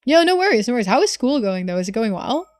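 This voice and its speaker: A woman's voice: a little high, big pitch swings, quick pace, no echo. A woman live streamer chatting casually as she plays through a game. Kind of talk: casual gaming commentary and chat.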